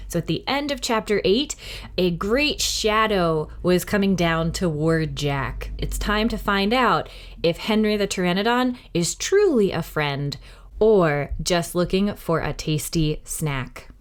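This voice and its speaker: Animated woman reading a children's storybook. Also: lowish voice. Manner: dramatic, clearly phrased storytelling. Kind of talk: expressive scripted storybook narration for children.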